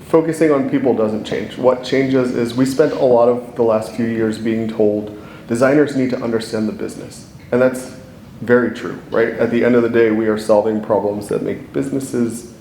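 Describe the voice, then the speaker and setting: A man on a conference panel, explaining his point in a steady, orderly way. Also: mid-range voice, normal speed, creaky, a little hiss or hum. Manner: steady, explanatory, wraps up neatly. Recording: echoey conference room.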